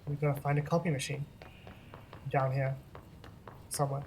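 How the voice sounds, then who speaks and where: A let's-play host chatting casually over gameplay, rambling and laughing between steps at a mid pitch. A faint hiss of ambience sits behind the voice. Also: moderate pace. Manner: relaxed, rambling, frequent ums and laughs.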